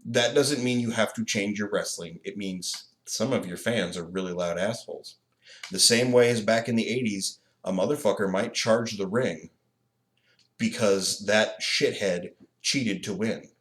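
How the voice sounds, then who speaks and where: A man co-hosting a podcast in casual chat, animated and profane, laughing often. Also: on the low side, measured pace. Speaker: podcast co-host.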